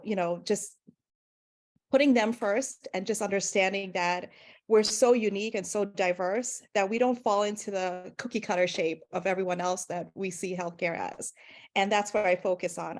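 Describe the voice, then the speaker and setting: Woman from guyana, thirties, earnest, rambling, lots of fillers, interview guest. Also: mid-range voice. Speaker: guest, holistic pharmacist. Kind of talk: interview answers.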